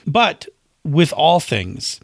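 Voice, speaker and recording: A man's voice: on the high side, sing-song, drawn-out words, vocal fry, dry recording, clean studio sound. A man co-hosting a podcast, chatting casually and emphatically as he gives advice, often checking in with the listener. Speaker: podcast co-host. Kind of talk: casual chat about tabletop game advice.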